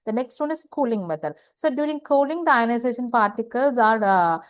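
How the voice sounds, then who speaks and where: A woman gives a slide lecture, halting and repeating phrases, often asking questions and answering them herself. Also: a little high, animated, no room sound, studio quality. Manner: halting, repetitive, asks and answers own questions. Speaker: engineering lecturer. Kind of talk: slide lecture explaining technical material.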